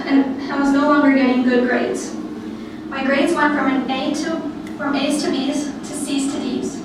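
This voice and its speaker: High-pitched young woman in an echoey room. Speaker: student giving a presentation. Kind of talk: prepared personal speech. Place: echoey room, recorded from a distance. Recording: echoey room, distant mic, noisy background.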